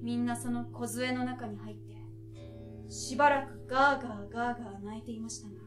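A young woman in her twenties performs a literary tale on stage with expressive, theatrical delivery. Her voice is high, and the room adds a clear echo. Plucked harp music plays behind her. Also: fairly quick. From Tokyo, Japan. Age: 20s. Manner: theatrical, expressive delivery, acting out children's dialogue. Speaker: stage storyteller. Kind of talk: dramatic literary recitation. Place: small indoor stage.

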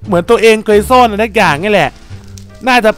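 A man narrating and voicing his own character in a voiced game story, excitable and full of exclamations. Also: high voice, quick pace, soft background music. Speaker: gaming channel host and voice actor.

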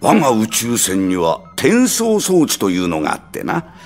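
An excitable alien ship's captain for an anime drama CD. He speaks in grand, old-fashioned commands with a creaky edge, and his pitch leaps about theatrically. Soft music plays underneath. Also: normal speed. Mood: smooth, proposing. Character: pompous, excitable male alien spaceship captain.

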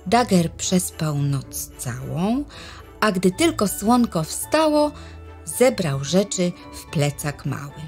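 A woman narrating a children's story in gentle sing-song rhymes. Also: fairly low pitch, very animated, slow pace. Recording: music bed under voice.

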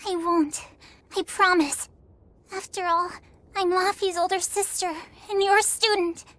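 A woman voicing an earnest young girl, a devoted big sister, in a soft childlike way for an anime game. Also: extremely high pitch, steady tone, measured pace, full character voice. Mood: brave, earnest. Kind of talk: dramatic anime game voice acting.

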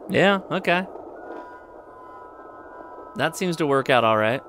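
A man's voice: fairly high pitch, expressive, takes it slowly, fairly bright tone, a little hiss or hum. A man giving a casual hands-on product review, informally thinking aloud as he goes. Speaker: host of a radio gear review channel. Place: indoors at a desk.

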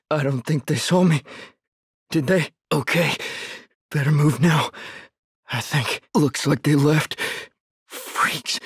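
A young man struggles to survive in a horror video game. His voice is very rough and breathy, with urgent, tense delivery. He sounds frightened but set on getting out, in a dry, close recording. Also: fairly high pitch, no room sound, exaggerated voice. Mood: wary, hushed. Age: young adult. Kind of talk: dramatic game voice lines.

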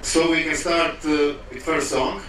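A man's voice: on the high side, steady tone, measured pace, a bit bright. A young man with a Serbian accent, a native Serbian speaker, casually introducing his songs on stage. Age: young adult. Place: ship show lounge stage. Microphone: handheld stage mic through PA. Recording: echoey hall from far off.

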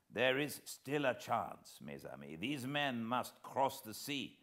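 A man's voice: on the low side, a bit bright, exaggerated voice. Man playing grave, emphatic French officer. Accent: British. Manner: formal, emphatic. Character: grave, urgent French military man, sensible and decisive.

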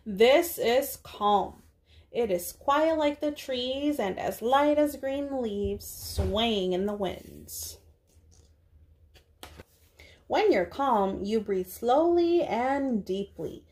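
A young woman, a preschool teacher, speaking to small children in a deliberate read-aloud style with drawn-out words. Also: a little high, lively intonation, darkish tone. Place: small indoor room.

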